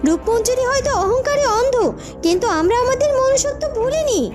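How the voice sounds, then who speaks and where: A woman playing a kind young heroine in an animated fairy tale, earnest and reassuring. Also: very high-pitched, fast, music in the background.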